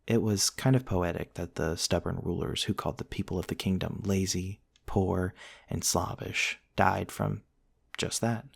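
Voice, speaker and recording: A man playing a gentle, courteous centuries-old vampire prince in an intimate ASMR roleplay, soft-spoken and formal, with hesitant pauses. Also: deep voice, vocal fry, slightly rough, dry recording, clean studio sound, heavily acted. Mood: wry, matter-of-fact. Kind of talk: intimate ASMR roleplay, storytelling to one listener.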